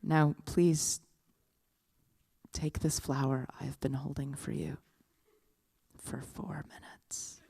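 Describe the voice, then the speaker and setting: Hushed, solemn living statue acted by a woman. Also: low voice, steady tone, husky, dry recording, exaggerated voice. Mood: hushed, patient. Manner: hushed, slow, solemn mock-liturgical intoning. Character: serene, silent living statue woman, pious and secretly needy.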